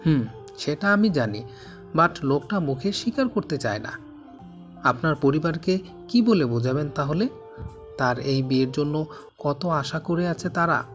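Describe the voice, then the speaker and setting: A man's voice: a little high, expressive, measured pace, pauses often. Earnest, pleading young woman played by a male narrator, storybook dialogue over faint music. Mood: concerned. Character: earnest, apologetic young woman confessing her love.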